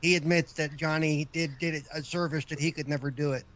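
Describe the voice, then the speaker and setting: A man's voice: a little high, fairly even intonation, brisk, a bit bright. A man in his fifties joking around and laughing often in a casual podcast chat. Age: 50s.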